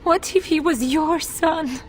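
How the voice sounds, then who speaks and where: A woman playing a desperate, grieving noblewoman and mother in a video game, pleading in a halting, emotional way. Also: high-pitched, soft background music. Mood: tearful begging. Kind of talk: dramatic video game voice acting.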